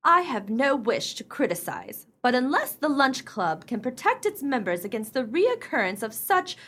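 A haughty society clubwoman of the radio play kind, acted by a woman. Her voice is high and swings widely in pitch as she delivers formal, self-righteous disapproval. Every phrase is pompous and proper. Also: very animated, normal speed, fairly bright tone, full character voice. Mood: indignant, pompous.

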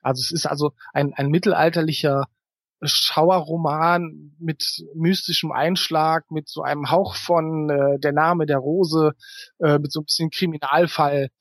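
A man with a voice a little higher than average, recorded close and dry. He talks as a guest in an interview, thinking aloud and explaining at length. His sentences wind along with hesitations as he looks for the right wording. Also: steady tone, a little slow, no room sound. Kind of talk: conversational interview answers.

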